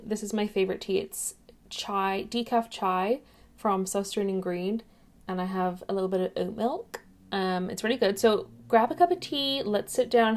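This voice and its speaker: A woman's voice: medium pitch, darkish tone. Chatty woman vlogging on a webcam. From England, raised in Ireland. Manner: chatty, rambling, drifts off topic. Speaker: YouTuber. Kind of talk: casual chatty vlog.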